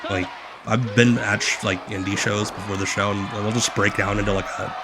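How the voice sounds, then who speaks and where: A man's voice: fairly low pitch, level tone, normal speed, crowd noise. A man hosting a stream, chatting casually and rambling through asides as he comments on an old broadcast. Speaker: stream host. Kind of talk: casual watch-along commentary. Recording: music playing under the voice. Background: music from the played broadcast.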